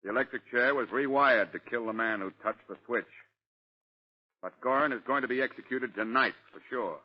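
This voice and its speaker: An actor playing an irritable, blustering American police commissioner in an old radio drama. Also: male voice, medium pitch, moderate pace, close to an everyday voice. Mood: assured. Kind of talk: 1940s radio drama acting. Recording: muffled old radio recording.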